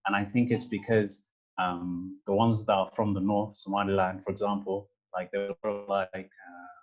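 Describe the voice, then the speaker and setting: A man with a London accent giving his opinions in an informal panel talk, haltingly, often repeating words as he makes his point. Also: low voice, flat delivery, dull audio. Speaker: panel speaker.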